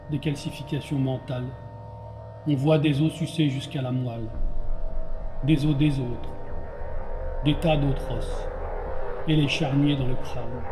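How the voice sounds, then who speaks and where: A man reads spoken-word poetry in a solemn, measured way, in short clipped phrases. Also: medium pitch. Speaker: poet. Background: music.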